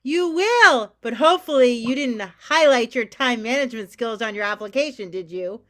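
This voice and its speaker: A woman's voice: fairly high pitch, animated, moderate pace, sharp tone. A woman playing an anxious, coaxing mother in a staged reading of a drama, fussing and placating. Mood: gently nagging. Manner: pleading, fussing.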